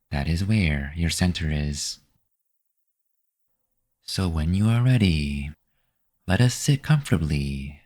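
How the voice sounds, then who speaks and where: A man guiding a meditation with gentle, unhurried, scripted instructions. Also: very deep voice. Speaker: meditation guide. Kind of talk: scripted guided meditation narration.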